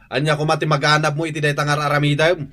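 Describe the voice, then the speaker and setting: An actor with an Ilocano accent playing a nagging, exasperated husband in a radio drama. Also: male voice, on the high side, monotone, fast, pushed and bright. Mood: complaining.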